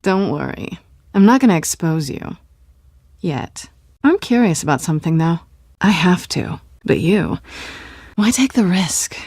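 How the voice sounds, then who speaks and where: Sly flirty thief lady for a game, lowish mellow voice, teasing but guarded, super dry sound. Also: lively intonation, measured pace, pauses often, slightly rough, no echo, heavily acted. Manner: teasing, self-assured, guarded. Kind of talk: video game voice acting.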